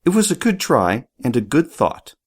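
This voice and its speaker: A man voicing a formal, upright schoolboy who speaks properly and shouts in a high voice when riled. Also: sing-song, normal speed, breathy edge. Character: formal, upright schoolboy loyal to his house.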